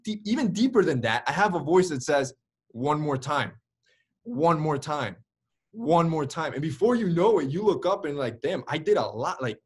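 A young man in his early twenties with a slightly husky voice tells his story on a video call. He talks with lively energy, waving his hands, and fills his speech with "like" and "right?". The sound comes through a webcam in a plain indoor room. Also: a little high. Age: early 20s. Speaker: interview guest. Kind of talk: candid storytelling.

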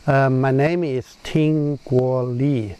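A middle-aged man from Taiwan, an institute director, speaking slowly and deliberately outdoors. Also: medium pitch, fairly even intonation, dark tone, a little hiss or hum. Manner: slow, deliberate. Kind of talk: interview. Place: outdoors among trees.

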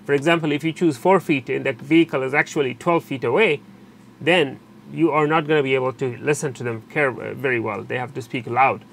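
A man narrating an unscripted step-by-step technical tutorial in a halting, explanatory way, repeating and rephrasing as he goes. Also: mid-range voice, creaky. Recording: steady background noise, room ambience.

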